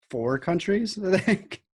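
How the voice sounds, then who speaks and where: A man's voice: sits high, slightly rough. A man from Canada hosting a podcast as an informal, slangy chat. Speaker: podcast host. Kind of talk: casual chat.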